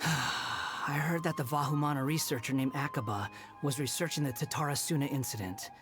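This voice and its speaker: A man's voice: a little high, normal speed, lightly acted. A man voicing an aloof, sardonic young male wanderer in a video game, with a snide, dismissive delivery. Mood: irritated, disdainful. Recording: music behind the voice.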